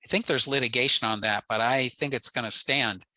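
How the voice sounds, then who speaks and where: Very bright, crisp-edged American man presenting. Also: mid-range voice, a little fast, no room sound, rough recording. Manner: matter-of-fact, plain-spoken. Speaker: presenter, amateur radio enthusiast. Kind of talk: informal technical slide presentation. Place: indoors, on a video call.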